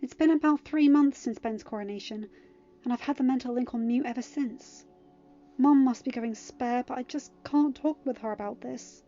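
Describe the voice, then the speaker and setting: Woman acting stressed, sharp-tempered teenage girl, higher-pitched. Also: normal speed, lo-fi sound, faint music behind, close to an everyday voice. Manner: snapping, scoffing, defensive. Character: stressed, proud sixteen-year-old girl with a sharp temper. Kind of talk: dramatized audiobook narration.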